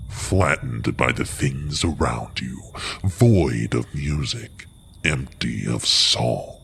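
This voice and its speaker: An actor playing a man's cruel inner voice of self-doubt, slow and mocking, in an audio drama. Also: very deep voice, vocal fry, a bit bright, full character voice.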